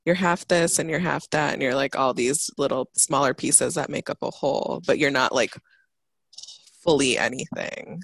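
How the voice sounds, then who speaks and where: A woman guest speaking in a reflective, casual way, pausing often to search for the right words. Her voice carries a gravelly, creaky texture. The recording is dry and close, with no room sound. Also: very deep voice, no echo. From United States and Mozambique. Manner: thoughtful, searching for words, many fillers. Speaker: podcast guest.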